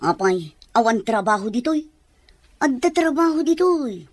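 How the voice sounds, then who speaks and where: A woman playing a gruff, scornful rural aunt who scolds and jabs sarcastically in an audio drama. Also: fairly high pitch, big pitch swings, moderate pace, no echo. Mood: disapproving. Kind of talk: Ilocano audio drama dialogue.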